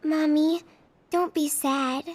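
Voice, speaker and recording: A woman voicing a gentle, perceptive little girl in a video game, with an earnest childlike delivery. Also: sits high, steady tone, slow pace. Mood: tender, sad. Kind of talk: video game cutscene acting.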